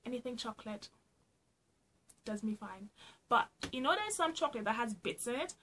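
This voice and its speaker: A young Trinidadian woman talks straight to the camera in a small room at home, playful and chatty, with a fairly high voice. A faint hiss sits under her speech. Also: expressive, moderate pace, fairly bright tone. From Trinidad and Tobago. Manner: chatty, playful, laughs often. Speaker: vlogger. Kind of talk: casual get-to-know-me vlog.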